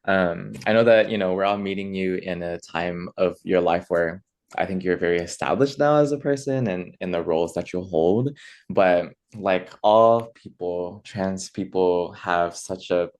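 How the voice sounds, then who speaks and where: A low male-sounding voice hosting a conference conversation in an earnest, measured way. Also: a little mellow. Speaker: conference host and interviewer. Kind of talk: facilitated plenary conversation, opening remarks.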